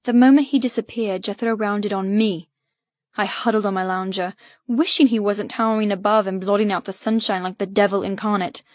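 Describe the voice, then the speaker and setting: A woman's voice: mid-range voice, steady tone, a little fast, dry recording, dull audio. A woman narrating an audiobook novel in the first person, with an intense, emotive, dramatic delivery.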